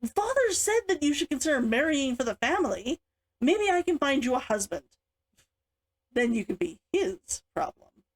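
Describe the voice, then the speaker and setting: A woman's voice: sits high, big pitch swings, normal speed, pitched above the performer's own voice. A woman reading the part of a stern, exasperated elder sister and dutiful matriarch in a storybook, in a scolding manner. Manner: growling, muttering, scolding.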